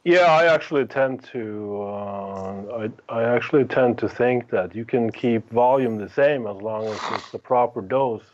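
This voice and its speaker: A man speaking as a guest in a casual podcast discussion, halting and correcting himself as he talks. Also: mid-range voice, highs cut off. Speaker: guest expert.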